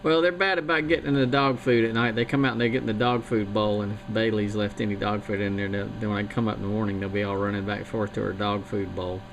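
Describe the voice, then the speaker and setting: A man from Missouri in casual chat, speaking in a slow, folksy, plain-spoken way. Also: fairly low pitch, steady tone. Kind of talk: casual chat over radio link. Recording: radio link buried in loud noise.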